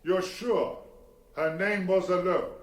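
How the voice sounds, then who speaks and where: An actor playing a formidable barrister in a courtroom radio drama, probing witnesses in a deliberate, theatrical and insistent way. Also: male voice, sits high. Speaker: defence barrister.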